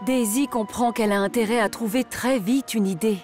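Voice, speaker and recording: A woman's voice: a little high, moderate pace, a little mellow, faint music behind. A woman reading scripted narration for a children's cartoon story as its narrator.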